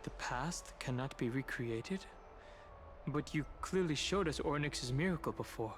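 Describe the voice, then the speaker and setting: An actor playing a calm, thoughtful young man who speaks in a measured, polite way. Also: medium pitch, a little hiss or hum, lightly acted. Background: game music.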